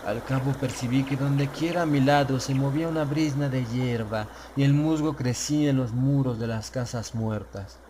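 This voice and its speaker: A man narrating a gothic tale as an audiobook, in a solemn, measured, literary delivery with phrases that fall away at the end. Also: medium pitch, noisy background. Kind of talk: gothic horror story narration in Spanish, first person. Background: background music.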